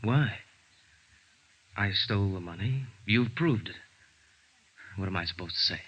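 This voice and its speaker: A man's voice: lowish voice, a little slow, a bit bright, slightly rough. An actor playing a resigned, evasive bookkeeper in his thirties in a 1950s radio drama, answering tersely. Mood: resigned, bitter. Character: resigned, evasive male bookkeeper caught embezzling, early thirties. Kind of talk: 1950s American radio acting. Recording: muffled, dry 1950s radio recording.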